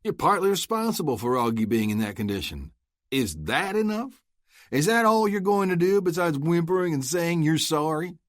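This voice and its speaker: A man's voice: mid-range voice, moderate pace, dry recording, studio quality, voice pushed up, close to an everyday voice. A man voicing a cool bounty hunter who goads and taunts his foe. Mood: taunting. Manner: taunting, goading, steady.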